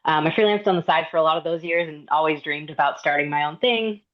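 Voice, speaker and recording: Earnest woman on dull call audio. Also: low voice. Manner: earnest, frequent "um" pauses and restarts. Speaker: independent designer and illustrator. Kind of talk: prepared self-introduction. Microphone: video call.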